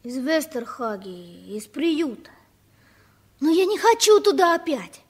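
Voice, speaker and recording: A nine-year-old orphan boy speaks in a high, childlike voice. He is earnest and eager, halting as he searches for words, in the manner of an old radio play. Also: very animated, a little mellow. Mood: sheepish confessing. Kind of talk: 1960s radio play acting.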